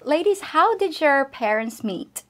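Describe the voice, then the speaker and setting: A woman with a fairly high voice works as an interview host. She moves between scripted introduction and casual, conversational questioning. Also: very animated, unhurried, fairly bright tone.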